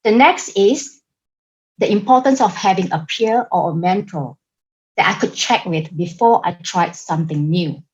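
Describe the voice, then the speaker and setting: A woman with a Singaporean English accent delivering a prepared club speech over a video call, in a deliberate, emphatic manner. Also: on the low side, a little mellow, highs cut off. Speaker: club member giving a speech.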